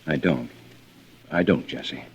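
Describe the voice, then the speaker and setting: An actor playing a kindly, uneasy police lieutenant in an old radio drama, hesitant and courteous. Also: male voice, extremely low pitch, slow pace, breathy edge, heavily acted. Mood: gentle, uneasy. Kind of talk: 1940s-50s radio drama acting. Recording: muffled old radio broadcast, faint noise.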